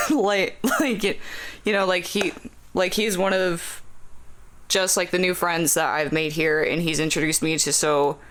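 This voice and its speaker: A man's voice: high voice, steady tone, unhurried, a bit bright. A man chatting as a podcast guest about music, rambling with many fillers and frequent laughs. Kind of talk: casual podcast chat.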